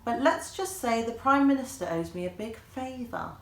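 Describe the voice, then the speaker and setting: A woman's voice: a little high, lively intonation, moderate pace, pitched above the performer's own voice. A woman voicing a playful, secretive detective aunt in a children's story, teasing and knowing in manner. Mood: sly. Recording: echoey room.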